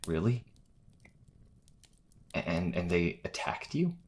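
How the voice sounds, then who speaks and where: A man playing an earnest, anxious young priest healer in an audio drama, speaking in a halting, hesitant way. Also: sits low, sing-song, a little hiss or hum, full character voice. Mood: alarmed pleading. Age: young adult.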